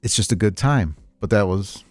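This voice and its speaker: A middle-aged man chatting casually on a podcast, telling stories in a rambling, animated way with repeats and chuckles. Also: on the low side, moderate pace, no room sound, faint music behind. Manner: animated storyteller, chuckles often, repeats himself. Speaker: podcast host, veteran tabletop gamer.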